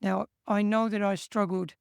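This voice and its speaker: A man from England with an English accent, co-hosting a casual chat and giving steady, earnest encouragement. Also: high voice, normal speed, creaky, clean tone, dry recording, clean studio sound. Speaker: co-host.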